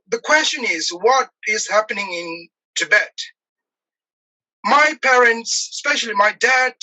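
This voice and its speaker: Bright-voiced, halting man from Nepal. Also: sits high, rough recording. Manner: hesitant, halting, many pauses and fillers. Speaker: guest, activist. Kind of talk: earnest personal account in an interview.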